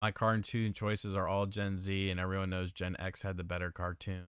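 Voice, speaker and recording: A man with a bright, smooth voice hosts a casual gaming chat. He reads viewer messages and tells lively, swearing-heavy stories about arcades. The close mic leaves his voice dry and clean. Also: sits low, moderate pace, no room sound. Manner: profane, animated storyteller. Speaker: podcast host reading super chats.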